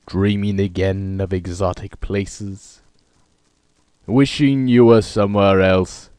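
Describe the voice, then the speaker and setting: A man's voice: sits low, steady tone, takes it slowly, a little mellow, clean tone, dry recording. A man announcing a radio drama with dramatic scripted narration in an old-time style.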